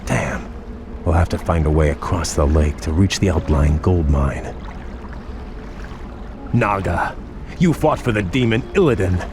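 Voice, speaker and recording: An actor playing a proud, noble elven prince, formal and restrained with simmering resentment, in a fantasy video game. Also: male voice, low-pitched, expressive, a little slow, pauses often, noisy background. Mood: irritated. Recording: music behind. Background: game music.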